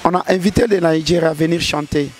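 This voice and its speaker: A man's voice: a little high, fast talker. A man, a gospel singer answering interview questions earnestly, often restarting his sentences. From DR Congo. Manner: earnest, hesitant with repeated restarts.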